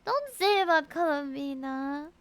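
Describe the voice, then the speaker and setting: High-voiced, gushing woman streamer. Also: unhurried. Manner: gushing, expressive. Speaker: game streamer. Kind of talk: livestream reactions to a game.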